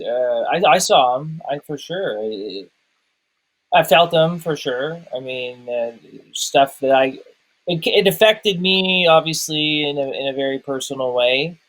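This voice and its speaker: Casting: man, slightly high voice, informal podcast talker, halting, self-restarting delivery. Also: a bit bright, rough recording. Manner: halting, repeats words as he searches for phrasing. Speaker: podcast guest reviewer, documentary maker. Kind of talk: casual personal chat.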